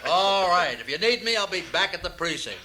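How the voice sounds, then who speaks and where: A man playing a tactless police chief in a comic radio play, describing gore in a deadpan way. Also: sits high, fairly quick, noisy background. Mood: impatient. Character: gruff, tactless male police chief with lurid similes.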